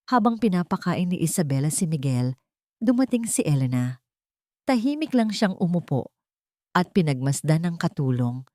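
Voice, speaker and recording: A woman's voice: on the low side, warm tone, no echo, clean studio sound. A woman narrating a scripted dramatic story as an audiobook reader.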